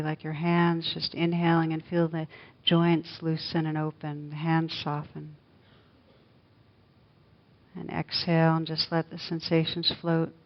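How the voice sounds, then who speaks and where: Female meditation teacher, low even delivery, gentle and unhurried; dull lo-fi recording. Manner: slow, soft, gentle guidance with long pauses. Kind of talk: guided meditation.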